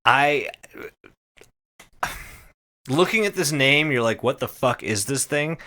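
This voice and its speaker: A man hosting a podcast, explaining things casually and comedically to friends in an animated, sweary, conversational way. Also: mid-range voice, slow pace. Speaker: podcast host.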